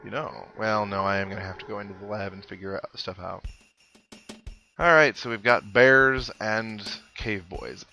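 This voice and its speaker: A man's voice: fairly low pitch, normal speed, bright, forceful tone. A man giving casual, dryly joking commentary as he plays a video game and reads its text aloud. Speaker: host of a video game playthrough. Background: video game music.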